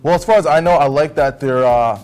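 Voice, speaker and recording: A man's voice: a little high, fairly quick, a little mellow, clean tone. A man speaking informally as a guest in a casual panel discussion. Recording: faint music behind the voice. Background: faint background music.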